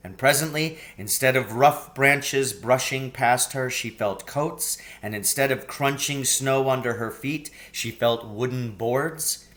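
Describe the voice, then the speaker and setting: A man's voice: mid-range voice, measured pace, few pauses, a bit bright. A man narrating a children's story from a script, as an audiobook reader.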